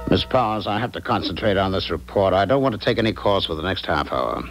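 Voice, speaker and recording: An actor playing a guilty husband with a secret in a 1970s radio drama, dramatic and on edge. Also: male voice, deep voice, animated, fast talker, over music. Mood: irritated. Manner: dramatic, swinging, agitated. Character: guilty, rattled husband hiding a killing. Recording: hissy 1970s radio recording.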